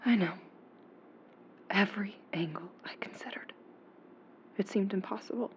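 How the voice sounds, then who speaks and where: A woman acting as a haunted, defiant neuroscientist confessing secrets in an audio drama, in a tense, hushed, breathy delivery. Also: mid-range voice, moderate pace, lo-fi sound, slight background noise, close to an everyday voice. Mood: grave, confiding.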